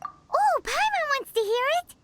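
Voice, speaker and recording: A woman voicing a tiny, cheeky, eager childlike fairy companion in a video game, squeaky and excitable. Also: measured pace, noisy background, exaggerated voice. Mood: eager awe. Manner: squeaky, excitable, refers to herself by name.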